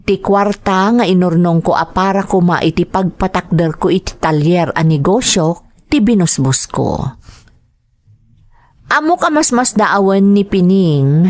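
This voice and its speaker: A woman's voice: lowish voice, darkish tone, no room sound. A woman narrating a dramatic first-person story for an audio drama.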